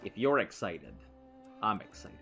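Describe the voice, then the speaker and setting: A man's voice: lowish voice, big pitch swings, measured pace, vocal fry, bright, forceful tone, soft background music. A man giving casual, playful commentary while playing a video game, rambling and chatting with someone in the room beside him. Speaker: let's play host.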